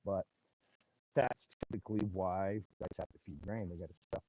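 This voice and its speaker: A man with a booming voice, a rancher, casually explaining grazing math on a livestream from his pasture. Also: fairly even intonation, moderate pace, very noisy. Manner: casual, folksy, explains step by step. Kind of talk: livestream teaching talk, chatting with viewers. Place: outdoors in a pasture among cattle. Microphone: small mic clipped to his hat.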